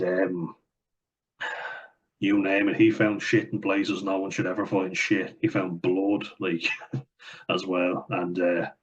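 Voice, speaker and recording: A man's voice: sits low, husky. A young man reading out viewer comments and answering them in blunt, sweary casual chat, with frequent fillers. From Ireland. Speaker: vlogger. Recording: dull lo-fi recording.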